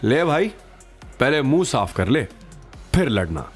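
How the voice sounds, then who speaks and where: A man playing a cocky, calm and menacing fighter in an audio drama, with a taunting, smug manner. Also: medium pitch, unhurried, faint music behind, pitched above the performer's own voice. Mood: mocking calm. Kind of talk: Hindi audio drama acting.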